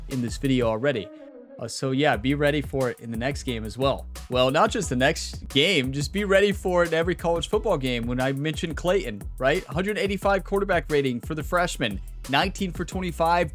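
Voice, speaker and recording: A man hosting a gaming video in casual commentary, talking straight to his viewers, still getting his voice back after being sick. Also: fairly high pitch. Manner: excitable, talks straight to viewers. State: recovering from losing his voice.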